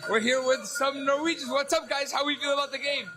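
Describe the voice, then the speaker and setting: A man working as a field reporter, interviewing fans in a restaurant with upbeat, quick follow-up questions. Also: extremely high pitch, steady tone, over music. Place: restaurant or bar.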